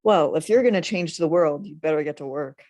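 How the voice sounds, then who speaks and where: A woman reading a low-voiced, sardonic teenage pal. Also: brisk, dark tone, dry recording, lightly acted. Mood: teasing. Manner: sardonic, teasing banter. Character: Jack, Dante's teasing, sardonic teenage friend. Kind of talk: table read of a film script.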